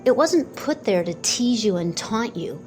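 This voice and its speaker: A woman in her fifties giving a slow, earnest motivational talk about manifesting, pausing often between phrases. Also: mid-range voice, lively intonation, a little mellow, soft background music. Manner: slow, pausing, earnest, quotes doubters in put-on voices. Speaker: manifestation coach.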